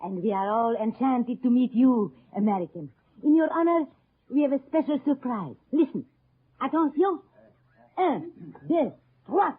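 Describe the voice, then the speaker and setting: A woman's voice: high-pitched, lively intonation, a little slow, husky, full character voice. An actress playing an effusive French woman of the resistance, French-accented, in vintage radio drama style. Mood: proud, festive. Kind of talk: 1940s-50s radio drama acting. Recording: tinny old radio recording, crowd behind.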